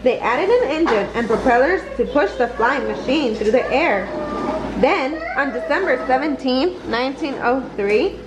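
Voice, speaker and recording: A woman reading a children's picture book aloud in a deliberate, expressive storytelling manner. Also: high voice, background noise. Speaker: storybook reader.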